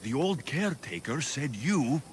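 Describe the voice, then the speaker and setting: A man's voice: medium pitch, sing-song, unhurried, a little hiss or hum. A man playing a blunt, impatient mercenary in a video game, terse and firm. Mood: exasperated. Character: blunt, impatient male Greek mercenary hero. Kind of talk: video game cutscene acting.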